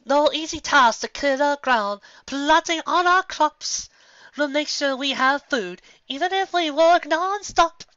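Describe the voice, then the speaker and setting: A woman voicing a hard-working farmer pony, singing earnestly and loudly. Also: unhurried, no room sound. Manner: loud, high, earnest singing. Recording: dull, muffled lo-fi recording.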